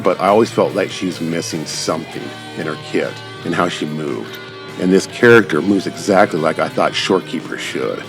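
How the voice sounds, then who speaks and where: A low-voiced man talks through his gameplay in a casual, rambling way, like a streamer addressing viewers. His speech is wordy and informal, with music playing under his voice. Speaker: gaming YouTuber. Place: voice-over on game footage.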